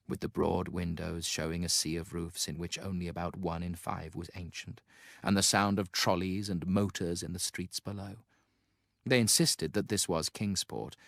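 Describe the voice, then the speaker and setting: A man narrating a horror story in a theatrical, ominous, deliberate way, with a gravelly voice. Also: low voice, a bit bright, no room sound, studio quality. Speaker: audiobook narrator. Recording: music under the voice.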